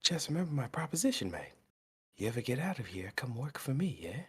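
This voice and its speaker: A man's voice: sits high, very animated, normal speed, husky, heavily acted. A man voicing a menacing male rogue who keeps calling people mate, taunting and threatening. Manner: threatening, playful sing-song taunts. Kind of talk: in-character roleplay.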